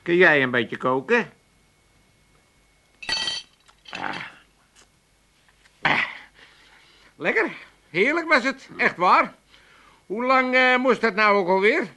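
A man's voice: high-pitched, big pitch swings, slow pace, long pauses, pushed and bright, heavily acted. An actor playing a demanding, cheeky old Amsterdam father-in-law in a comic radio drama, whining and wheedling with an Amsterdam accent. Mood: sly, content. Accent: Amsterdam Dutch. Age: older.

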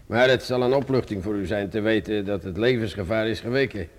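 An actor playing a weary, grumbling, sarcastic police inspector in a radio play, with a hesitant, muttering manner. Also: male voice, lowish voice, fairly quick, lightly acted. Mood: measured, formal. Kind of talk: Dutch radio drama acting.

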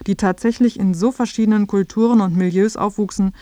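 A woman reading scripted feature narration in a clear, explanatory way. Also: medium pitch, steady tone, fairly quick, warm tone, no echo.

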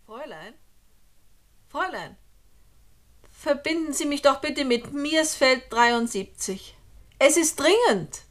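A woman's voice: high voice, lively intonation, slow, long pauses. An actress playing an anxious, insistent young woman in a radio drama, with an urgent, imploring delivery. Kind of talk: German radio play acting.

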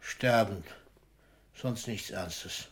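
A man's voice: fairly low pitch, drawn-out words. Ailing old poet, wry and fatherly. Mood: weary, confiding. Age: older. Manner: philosophical, wryly theatrical, with whispered asides. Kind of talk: German radio play acting.

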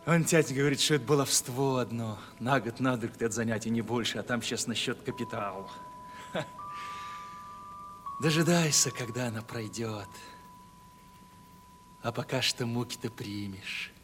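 An actor playing a timid, simple young merchant's son in love, folksy and fretful, in a Russian radio play. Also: male voice, medium pitch, moderate pace, pauses often, a bit bright, slight background noise, close to an everyday voice. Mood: nervous, plaintive. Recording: reverberant old radio play, music behind.

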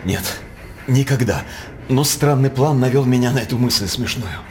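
An actor playing a sly court jester in a radio stage drama, wry and fawning, with a theatrical delivery. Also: male voice, medium pitch, moderate pace, slight background noise, close to an everyday voice. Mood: wry, servile.

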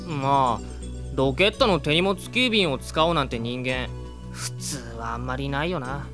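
A woman's voice: very deep voice, moderate pace, fairly bright tone, faint music behind. A woman voicing a wry, long-suffering boyish sidekick in a Japanese comedy audio drama, blunt and teasing. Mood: dry, resigned.

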